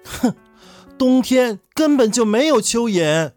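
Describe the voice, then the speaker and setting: A man's voice: very high voice, expressive, slow pace, darkish tone, soft background music, voice pushed up, full character voice. A man playing a sulky, naive young man who needles his friend with pointed questions in a live audio drama. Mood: huffy.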